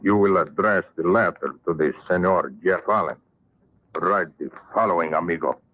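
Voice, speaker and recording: A man playing a gloating, mock-polite Spanish villain with a Spanish accent in an old-time radio western. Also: low voice. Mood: commanding. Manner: slow, mock-polite, chuckling menace. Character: gloating Spanish villain, a menacing Don who relishes torture. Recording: muffled vintage radio recording.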